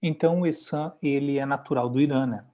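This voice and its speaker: A man's voice: mid-range voice, quick pace, dark tone. A man with a Brazilian accent, hosting an interview and interpreting for the guest in a conversational way. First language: Portuguese.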